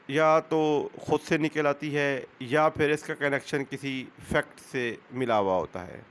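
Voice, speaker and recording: Man, Pakistani accent, slightly high pitch, very slow delivery, didactic lecture style, deliberate, repeats key phrases. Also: clear, resonant tone. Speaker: lawyer giving law lessons as channel host. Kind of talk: explanatory lecture.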